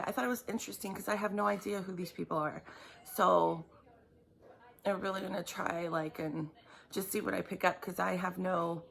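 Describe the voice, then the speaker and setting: A woman giving a tarot reading in a chatty, rambling way, apologizing often, her voice raspy from just waking up. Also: fairly low pitch. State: raspy morning voice. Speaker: tarot reader. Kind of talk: casual vlog talk.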